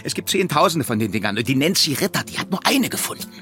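A man playing an irritated, dismissive police detective in a radio drama, gruff and stammering in disbelief. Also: fairly high pitch, very animated, fast, pushed and bright, faint music behind, exaggerated voice. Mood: exasperated. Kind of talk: German radio drama acting.

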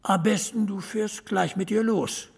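A blunt small-town boss in an audio drama. His fairly high voice is heavily creaky, and he gives orders dryly and without fuss. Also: fairly even intonation, a little slow, exaggerated voice. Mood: brisk, directing. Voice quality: husky, gravelly. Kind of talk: naturalistic German audio drama acting.

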